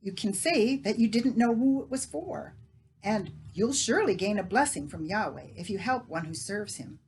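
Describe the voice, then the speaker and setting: A woman's voice: mid-range voice, expressive, moderate pace, a little mellow, slight background noise, pitched above the performer's own voice, natural voice. A woman voicing an earnest, polite young boy on the run.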